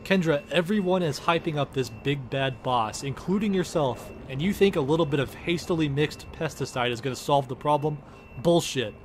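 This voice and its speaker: A man's voice: on the high side, normal speed, slight background noise. A man performing an exasperated, foul-mouthed space engineer in a comedic game parody, with a sarcastic, ranting delivery. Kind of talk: comedic scripted parody dialogue. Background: game music.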